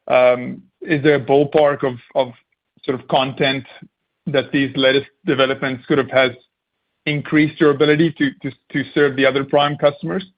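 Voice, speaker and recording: Male analyst on a slightly dull call line. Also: mid-range voice. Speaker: financial analyst asking questions. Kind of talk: earnings call question.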